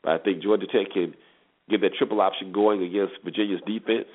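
A man's voice: sits low, fairly even intonation, a little fast. An American man hosting a sports show, giving conversational game previews and commentary, repeating himself for emphasis. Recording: tinny phone-like narrowband sound.

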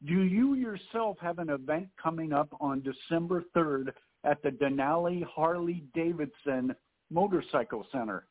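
Man, fairly high voice, formal and stiff, tinny phone audio. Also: moderate pace. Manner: formal, stiff, asks long questions. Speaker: podcast co-host. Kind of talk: interviewing a guest.

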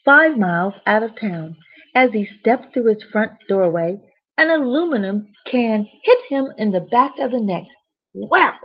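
A woman storyteller with a husky voice reads a children's bedtime story expressively, with chatty asides to young listeners. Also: mid-range voice. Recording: dull, lo-fi sound.

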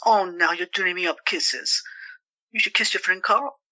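A woman's voice: lowish voice, unhurried, pushed and bright, dry recording. A woman playing a protective, skeptical, worried sister in an audio drama, reasoning and pleading. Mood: playful.

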